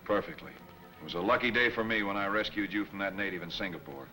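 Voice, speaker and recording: Fast-talking, bright-voiced suave young newspaper boss. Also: male voice, on the low side, rough recording. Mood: confident. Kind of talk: 1940s film serial acting. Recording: old film soundtrack, faint music behind.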